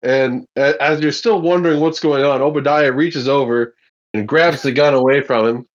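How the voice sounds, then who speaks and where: A man's voice: mid-range voice, moderate pace, highs cut off. A man running a tabletop horror game as game master, narrating and voicing the villain with playful menace.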